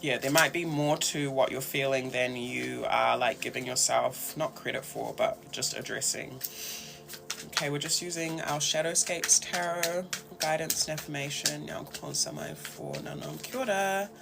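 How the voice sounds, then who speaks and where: Kiwi guy doing a chatty tarot reading, lots of fry, bit higher voice, thinks as he goes. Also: expressive, moderate pace, music in the background. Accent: New Zealand. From New Zealand. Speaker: tarot reader and host. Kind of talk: casual, conversational tarot reading.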